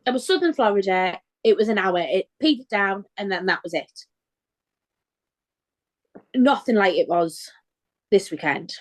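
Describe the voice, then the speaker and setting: A woman co-hosting a casual podcast chat, bubbly and quick to laugh. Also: medium pitch, lively intonation. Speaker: podcast co-host.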